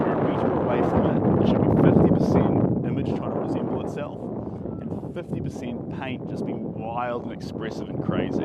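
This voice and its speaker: A young man teaching painting on a live stream, enthusiastic and chatty, his voice a bit strained by the wind, recorded on a phone outdoors on a beach. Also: medium pitch. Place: sandy beach outdoors on a sunny day. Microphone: phone held at arm's length. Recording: phone audio with clear wind and outdoor noise.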